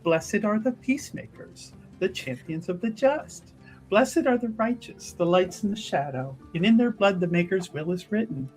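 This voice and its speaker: A player voicing a polite, probing church sister in a fantasy tabletop game, with formal, measured, pious phrasing. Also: medium pitch, animated, a little mellow, soft background music, natural voice, poor audio. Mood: solemn.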